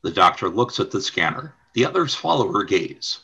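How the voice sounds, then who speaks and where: A man narrating a scripted radio play as its announcer, in a dramatic, measured storytelling manner. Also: sits low, very animated, gravelly, bright, forceful tone, slightly rough, muffled recording.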